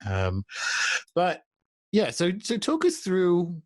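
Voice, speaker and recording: A man's voice: high-pitched, huge pitch swings, slow, a little mellow, no echo, studio quality. A man hosting a podcast interview, asking long winding questions with many restarts and ums. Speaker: podcast host. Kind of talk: casual interview chat.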